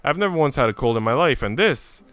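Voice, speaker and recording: A man's voice: mid-range voice, lively intonation, fast talker, a bit bright, smooth, no echo, pitched above the performer's own voice, lightly acted. A man voicing a pitiful, homesick young Swiss maid in a visual novel, with a plaintive, tremulous manner.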